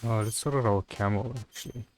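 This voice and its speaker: Male streamer, fairly low voice, relaxed, casual gameplay commentary, muttered asides. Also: a little fast. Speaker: gaming streamer.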